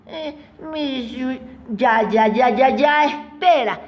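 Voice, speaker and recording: Shrill, slow, lamenting rustic woman. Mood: pleading. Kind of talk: radio theatre comedy acting. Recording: dull, noisy old radio drama recording.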